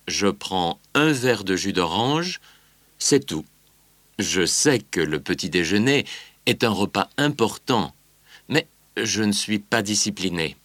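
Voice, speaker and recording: A man's voice: lowish voice, fairly bright tone, dry recording. An actor voicing a male singer on a promo tour, reading a scripted interview in an easygoing way.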